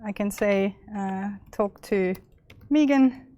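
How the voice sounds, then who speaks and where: Young Austrian woman demoing software live, loose and chatty, dry mic. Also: mid-range voice, takes it slowly, no room sound. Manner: chatty, many fillers and restarts. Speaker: presenter. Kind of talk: live tech demo walkthrough.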